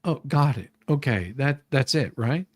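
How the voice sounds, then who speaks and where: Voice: medium pitch, animated, unhurried, a little mellow, no echo, clean studio sound. A livestream host switching between a dramatic deposition read-through and informal, jokey chat.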